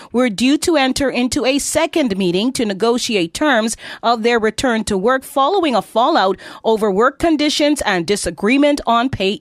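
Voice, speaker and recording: Woman, news anchor, higher-pitched voice, scripted delivery, close dry recording. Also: dry recording.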